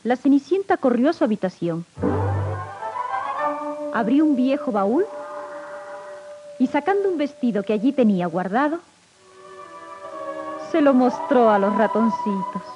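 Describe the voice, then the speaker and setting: A woman's voice: a little high, animated, unhurried, long pauses. A woman narrating a scripted children's story on an old 1950s record. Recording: dull, lo-fi 1950s 78 rpm record. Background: music.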